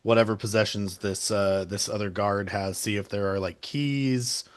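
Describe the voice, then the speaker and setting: A man's voice: fairly low pitch, fairly even intonation, moderate pace. A man playing a tabletop role-playing game, talking casually and thinking aloud about his moves with a joking tone. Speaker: player.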